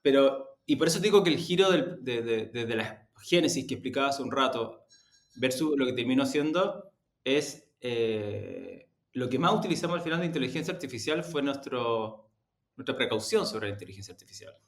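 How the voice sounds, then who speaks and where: Deliberate man in an echoey room. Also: medium pitch, animated. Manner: deliberate, halting, self-correcting. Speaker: interview guest, media executive. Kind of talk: reflective interview talk. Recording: roomy echo on the voice.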